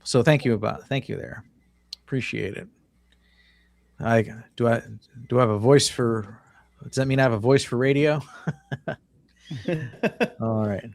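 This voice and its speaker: A man's voice: medium pitch, a little slow, gravelly, long pauses, a little mellow. An easygoing man hosts a casual live video chat, reading viewer comments and chatting. His mid-range voice sounds dry and close, with no room echo. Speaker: host. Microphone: home video-call setup.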